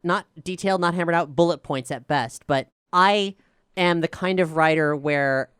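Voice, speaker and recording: A woman chatting casually and candidly as a show creator, telling stories in an animated, self-analytical way. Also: on the low side, moderate pace, clear, resonant tone, no echo, clean studio sound. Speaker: show creator and writer answering questions. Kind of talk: casual reflective Q&A chat.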